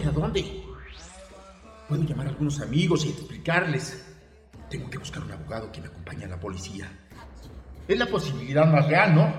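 An actor playing a guilty, nervous younger Mexican man on the run in a radio drama, agitated and hesitant. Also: a little high. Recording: echoey reverb with faint music.

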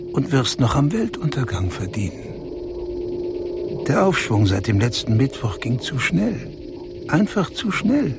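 A man's voice: medium pitch, gravelly, full character voice. An actor playing a boastful, ruthless male finance boss in a radio drama, declaiming theatrically and with menace. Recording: radio play with loud music.

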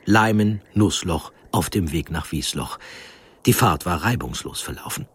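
A man with a husky voice narrating a scripted documentary story in a measured, deliberate way. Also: sits low, animated.

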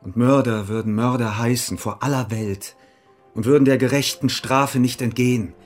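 An actor in a German radio play playing an earnest, worried monk, a loyal companion who speaks gravely. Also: male voice, medium pitch, steady tone, moderate pace, faint music behind, lightly acted. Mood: indignant.

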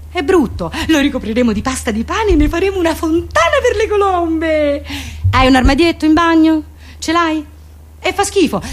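A woman with a Roman accent performing a theatrical comic monologue, with deadpan, mock-solemn irony and colloquial turns. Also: sits high. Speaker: comedian, actress performing her own monologue.

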